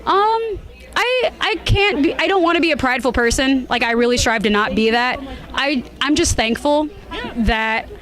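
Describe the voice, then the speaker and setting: A woman giving a casual interview as a cosplayer, with a bubbly, expressive way of talking. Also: sits high, normal speed. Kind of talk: casual interview chat at a convention.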